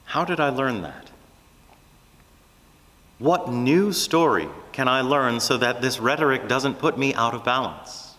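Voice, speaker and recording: A man preaching a reflective, prayerful sermon, speaking earnestly in measured phrases with deliberate pauses. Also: mid-range voice, animated, a bit bright, long reverb tail.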